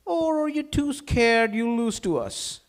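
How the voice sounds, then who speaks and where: A smug, argumentative male schemer played by a man in a comedy table read. His high voice swings theatrically as he mocks and taunts. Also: big pitch swings, a little slow, full character voice. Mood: smug taunting.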